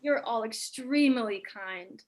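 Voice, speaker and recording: A woman's voice: a little high, measured pace, darkish tone, lightly acted. A woman playing a polite, reserved married mother newly arrived in the neighborhood, in a staged play reading. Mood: courteous, composed. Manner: courteous, composed.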